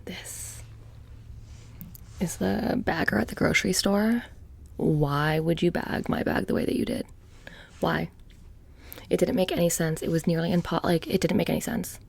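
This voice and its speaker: A soft-spoken woman doing close, whispery ASMR roleplay as a witch shopkeeper, with a casual, halting, deadpan menace. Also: fairly low pitch, creaky, husky.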